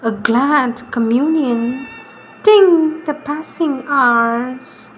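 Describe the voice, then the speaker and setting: A woman reads spiritual poetry in a solemn, devotional style, deliberately, pausing between short phrases. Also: high-pitched, a little hiss or hum. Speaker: reader.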